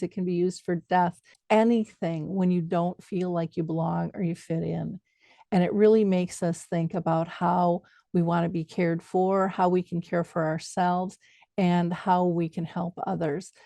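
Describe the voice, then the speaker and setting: A woman's voice: lowish voice, mellow, no room sound. A woman talking conversationally as the host of an interview show.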